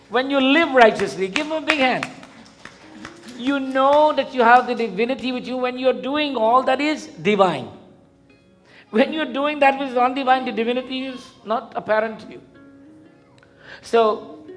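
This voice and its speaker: High-voiced Guyanese man preaching, music behind. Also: lively intonation, a bit bright. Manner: didactic, questioning, deliberate repetition. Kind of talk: interactive sermon. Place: live event in a temple before a congregation.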